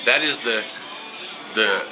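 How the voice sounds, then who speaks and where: A man with a low voice in casual conversation, often restarting his sentences. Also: music in the background, low-quality recording. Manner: halting, many restarts. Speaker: host.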